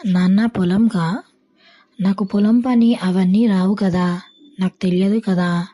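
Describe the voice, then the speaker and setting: Woman as obstinate kid sister in a moral tale, whiny and pleading, medium pitch, soft mellow tone. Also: steady tone, a little slow, close to an everyday voice. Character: stubborn, lazy young schoolgirl, pleading little sister.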